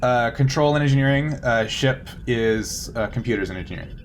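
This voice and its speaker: A man narrating a tabletop game casually as game master, with halting, hesitant phrasing. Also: mid-range voice. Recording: noticeable background noise.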